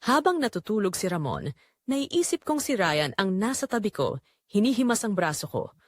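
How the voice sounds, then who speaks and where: A woman performs a married mother confessing in a scripted audio drama, full of longing and guilt. Her mid-pitched voice rises and dips in a lively way, with a very dry, close sound. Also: normal speed, dry recording. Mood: longing, confessional. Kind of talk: first-person scripted audio drama narration.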